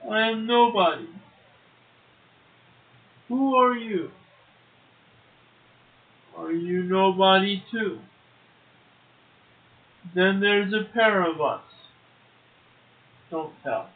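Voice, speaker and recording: Over narrow, phone-like audio, a man with a high voice co-hosts a poetry show. He speaks extremely slowly, whether reciting verse or making a casual remark. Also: lively intonation. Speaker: poetry podcast co-host, poet. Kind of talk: slow poetry reading and casual comment. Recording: muffled, tinny phone-like audio.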